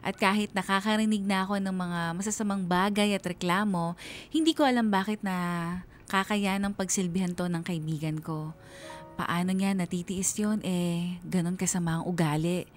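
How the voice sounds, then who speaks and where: A woman's voice: fairly low pitch, fairly even intonation, normal speed, soft background music, lightly acted. A woman playing an honest, hurt laundrywoman, narrating her story earnestly in a radio drama. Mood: disapproving.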